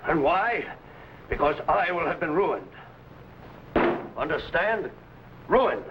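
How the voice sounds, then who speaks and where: An actor playing a worried, secretive, commanding landowner in an old adventure drama, speaking in a clipped, urgent way. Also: male voice, a little high, very animated, slow pace, noisy background. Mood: bitter, anxious. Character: worried, secretive, commanding landowner fearing gold will ruin him. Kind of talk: 1950s adventure drama acting. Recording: dull old broadcast, loud music.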